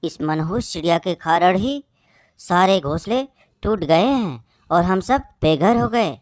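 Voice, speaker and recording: A woman's voice: fairly low pitch, expressive, unhurried, a little mellow, no echo, studio quality. Woman voicing a stern, scolding cartoon bird. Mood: threatening. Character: hostile, superstitious jungle bird, harshly threatening. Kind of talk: Hindi moral-story cartoon acting.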